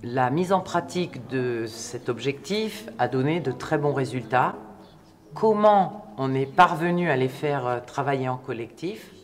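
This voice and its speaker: A measured, explanatory speaker giving an interview account of a project. The voice sits at a medium pitch and moves through the points step by step. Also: animated.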